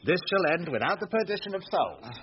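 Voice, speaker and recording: An actor playing a boisterous, scheming knight in a Shakespearean radio comedy, blustering with mock-solemn theatrical delivery. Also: male voice, high-pitched, big pitch swings, brisk. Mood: sly. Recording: dull lo-fi radio recording, noisy.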